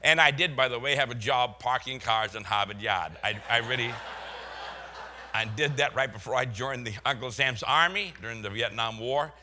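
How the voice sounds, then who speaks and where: A man's voice: medium pitch, moderate pace, sharp tone, slight background noise. An older man from Boston with a Boston accent, a pastor preaching a sermon full of folksy, chuckling stories.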